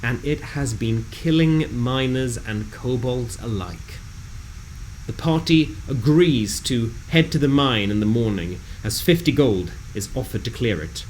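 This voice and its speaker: A man reading a scripted fantasy game recap in a dramatic, measured storytelling delivery. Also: mid-range voice, expressive, a little mellow, a little hiss or hum, low-quality recording.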